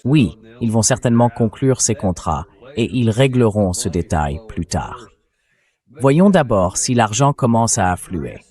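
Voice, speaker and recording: A man's voice: fairly low pitch, animated, a little slow, a little mellow. A man hosting a political discussion, in a translated voice-over read by a synthetic voice. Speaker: host.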